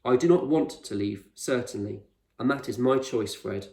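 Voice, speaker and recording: A man voicing an eccentric, proud male explorer in a storybook, speaking with measured authority and reassurance. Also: medium pitch, mellow, close to an everyday voice. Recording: roomy echo, distant microphone.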